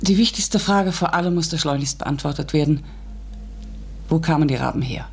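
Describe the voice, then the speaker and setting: A thoughtful narrator in a radio drama. The voice is slightly breathy and husky, with a measured, deliberative, questioning delivery. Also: male voice, a little high. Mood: reflective. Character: reflective narrator with a scientific bent.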